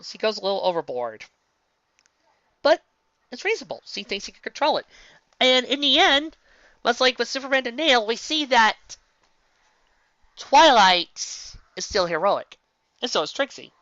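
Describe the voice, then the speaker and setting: Lively, bright-voiced woman rambling on a fandom. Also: dry recording, lo-fi sound. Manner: rambling, with frequent restarts and self-corrections; animated, jumping up in pitch for emphasis. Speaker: fan fiction reader and commentator. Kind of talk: casual commentary on a story reading.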